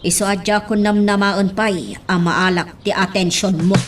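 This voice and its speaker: A woman's voice: medium pitch, fairly even intonation, unhurried, close to an everyday voice. An actress playing a stern lady of the household in a radio drama, with a pointed, probing manner. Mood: probing, displeased.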